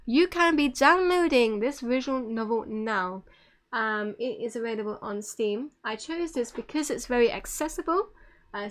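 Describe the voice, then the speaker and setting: Higher-voiced woman presenting, a bit halting. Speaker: presenter of a visual novel writing workshop. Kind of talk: informal online presentation.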